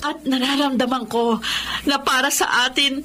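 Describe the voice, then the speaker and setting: A woman's voice: high-pitched, fairly even intonation, normal speed, bright, forceful tone. A woman playing a dutiful, hopeful young daughter, polite and emotive, in a Tagalog radio drama. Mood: hopeful, thankful. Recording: clearly noisy background.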